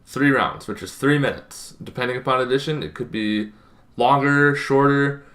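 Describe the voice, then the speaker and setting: A man's voice: mid-range voice, a bit bright. Emphatic young man explaining casually. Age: young adult. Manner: emphatic, dramatic pauses and short punchy phrases. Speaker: tabletop gaming video host. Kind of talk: casual explanatory talk to camera. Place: small bedroom, indoors.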